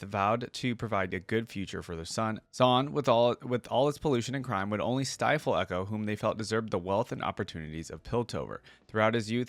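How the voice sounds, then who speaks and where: A man's voice: on the low side, dry recording. Casual man reacting on video, dry close sound. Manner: conversational asides between read-out passages. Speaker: YouTube host reacting to game lore. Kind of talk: casual reaction commentary mixed with reading aloud.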